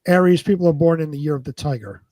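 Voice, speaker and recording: A man's voice: fairly high pitch, quick pace, mellow, no room sound. A man bantering casually as a quiz contestant, disputing rulings in a matter-of-fact way.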